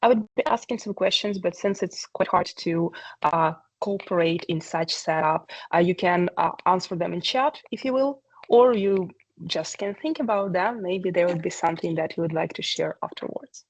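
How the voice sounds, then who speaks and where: A woman talks through a presentation on a video call. Her voice sits on the low side and sounds dry, with little room around it. She is earnest and hesitant, and she fills her pauses with "uh" and "like.". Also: slightly rough, dry recording, low-quality recording. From Ukraine. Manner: hesitant, many fillers, earnest. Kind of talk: online meeting presentation.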